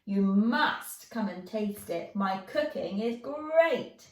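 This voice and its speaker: A booming, hearty storybook troll, acted out by a woman, proud of his cooking and friendly. He draws his words out slowly, in a roomy recording a little away from the mic. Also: animated, a little mellow. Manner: booming, drawn-out delivery. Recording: roomy, echoey, distant mic.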